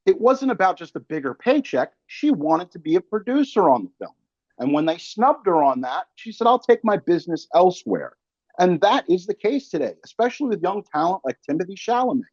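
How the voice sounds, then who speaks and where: A man's voice: high voice, very animated, brisk, a little mellow, no room sound. A man hosting a podcast, delivering an emphatic, colloquial and opinionated monologue. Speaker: podcast host. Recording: dry, slightly dull close recording.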